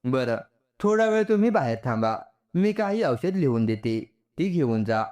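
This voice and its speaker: A man voicing a brisk, businesslike woman doctor in a story, giving instructions loudly. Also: medium pitch, big pitch swings, close to an everyday voice. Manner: loud, instructing.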